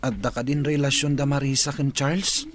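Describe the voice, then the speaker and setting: An earnest teenage schoolboy from a village radio drama , played by a man. His voice is mid-pitched, with a wistful and sincere manner. There is faint noise behind him. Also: fairly quick, natural voice. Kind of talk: comedy radio drama acting.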